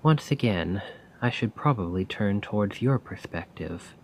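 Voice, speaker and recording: A soft-spoken man playing a melancholy, self-doubting poet in an ASMR roleplay, musing wistfully in formal, poetic words with a breathy voice. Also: lowish voice, animated, measured pace, slight background noise.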